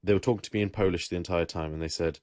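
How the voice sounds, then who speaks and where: Young English guy, deep voice, laid-back rambling storyteller, dry mic. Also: very fast, no echo. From England. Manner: relaxed, rambling, with self-corrections. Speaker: podcast host. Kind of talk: casual chat.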